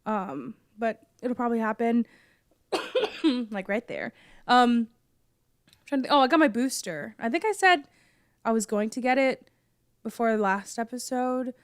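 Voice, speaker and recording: A young woman, still hoarse from being sick, chats casually on a podcast and coughs now and then. She rambles through fillers and restarts. Her voice comes through smooth and close, with no room sound. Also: fairly high pitch, expressive, unhurried, pauses often, no room sound. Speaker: podcast co-host. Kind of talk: casual chat.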